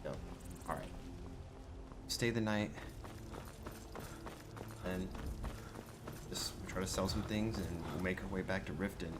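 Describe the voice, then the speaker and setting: A man's voice: low-pitched, slow pace, pauses often. A man role-playing his character in a video game, improvising casual, polite commentary as he plays. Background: game music.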